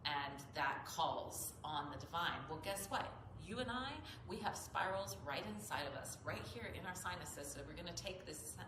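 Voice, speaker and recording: A woman's voice: lowish voice, animated, normal speed, hardly any pauses. A woman with a slightly nasal voice explains as a yoga teacher in an informal, earnest, rambling tutorial. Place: echoey indoor yoga studio. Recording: roomy echo, clearly noisy background.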